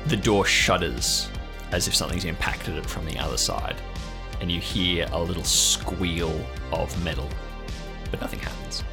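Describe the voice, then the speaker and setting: A man's voice: low-pitched, moderate pace, gravelly. A man running an improvised audio drama game as game master, narrating in a suspenseful, descriptive way. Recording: music playing behind the voice. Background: music.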